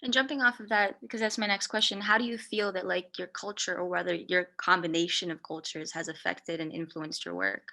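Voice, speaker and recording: A woman's voice: lowish voice, animated, a little fast, vocal fry, few pauses, pushed and bright. A woman hosting an interview, introducing her guest and asking questions. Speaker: interview host.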